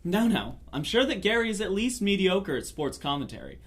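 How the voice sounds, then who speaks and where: A man's voice: high voice, big pitch swings, brisk, fairly bright tone, full character voice. A man playing an exasperated sportscaster caught between squabbling exes in a comedic table reading, protesting with mock diplomacy. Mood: mock-diplomatic.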